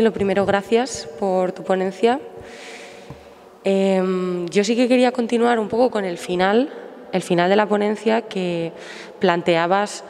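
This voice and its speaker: A woman from the audience politely asking the speaker a question, halting and repeating words. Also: medium pitch, darkish tone. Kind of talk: formal question. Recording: echoey hall.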